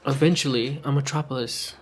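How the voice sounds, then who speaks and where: A man's voice: mid-range voice, steady tone, fairly quick, a little hiss or hum. A man co-narrating a fiction podcast in a scripted, conversational storytelling style, with an informal manner.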